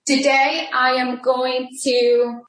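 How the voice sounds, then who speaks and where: A woman's voice: sits high, flat delivery, slow, echoey room, rough recording. A middle-aged woman whose first language is Spanish, a rehabilitation teacher giving a friendly, chatty cooking demonstration from her home kitchen, with many fillers and restarts. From Texas border region.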